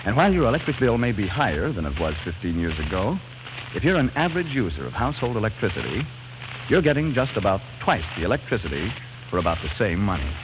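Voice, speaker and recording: A man's voice: on the low side, big pitch swings, fast, noisy background. A man with an American accent reading scripted sponsor talk as a 1940s radio announcer. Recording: dull vintage 1940s radio recording, music behind.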